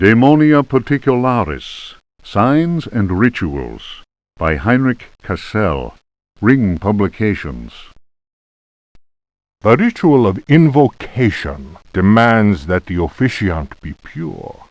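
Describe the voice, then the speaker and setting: An actor playing a solemn reader of an occult grimoire, narrating in a grave, deliberate, theatrical way. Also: male voice, fairly low pitch, sing-song, no echo. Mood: solemn, ominous. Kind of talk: theatrical scripted book narration.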